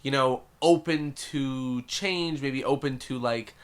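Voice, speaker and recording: A man's voice: medium pitch, takes it slowly, clean tone. Hesitant male podcast host. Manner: hesitant questions full of "like" and "uh". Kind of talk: casual interview.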